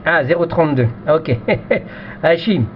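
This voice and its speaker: A man with a slightly high voice chats casually over amateur radio. He repeats himself and often tags his phrases with "hein". The sound is dull and lo-fi, with light background noise. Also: lively intonation, measured pace. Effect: two-way radio sound.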